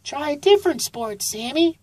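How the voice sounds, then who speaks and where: A woman's voice: high voice, expressive, normal speed, darkish tone, no echo, voice pushed up. A woman voicing an encouraging, practical mother sloth in a children's storybook, with a lilting, coaxing manner.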